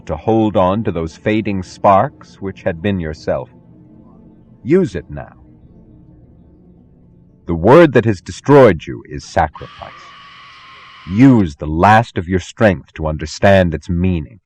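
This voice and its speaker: Deep-voiced man delivering a solemn speech. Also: very animated, measured pace, darkish tone. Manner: solemn, emphatic, oratorical. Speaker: narrator. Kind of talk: dramatic scripted philosophical speech narration. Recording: faint music behind. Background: music.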